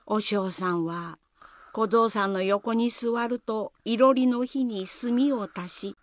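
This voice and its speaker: A woman's voice: medium pitch, takes it slowly, no room sound. A woman narrator telling a scripted folk tale in a storytelling style.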